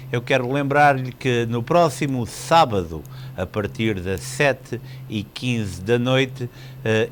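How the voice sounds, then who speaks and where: A man's voice: medium pitch, slight background noise. A man with a European Portuguese accent hosting a live phone-in show, courteous and chatty, dropping in the odd English word. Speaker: call-in show host.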